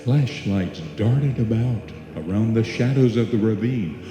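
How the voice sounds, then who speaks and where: A man's voice: on the low side, unhurried, close to an everyday voice. A man narrating an old time radio suspense tale as a solemn, dramatic storyteller. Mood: solemn, ominous. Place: live stage performance in a hall. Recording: echoey live hall, music behind.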